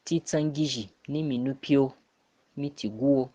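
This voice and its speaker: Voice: mid-range voice, moderate pace, dark tone, no echo, lo-fi sound. A person giving a spoken answer as an academic contributor to a program. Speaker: academic answering a monthly question.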